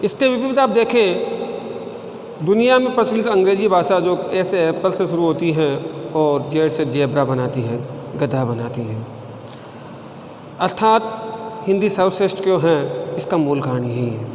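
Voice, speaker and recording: A man's voice: a little high, unhurried, long pauses. A man giving a formal stage speech at a college function, declaiming and chanting poem refrains with rising emphasis. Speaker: speaker at a college Hindi Day function. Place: event at a college courtyard. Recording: echoey, dull lo-fi sound with long reverb and faint hiss.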